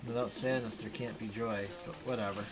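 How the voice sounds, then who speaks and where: A man's voice: lowish voice, steady tone, brisk. Casual man commenting over game music. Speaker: streamer playing and reading a visual novel aloud.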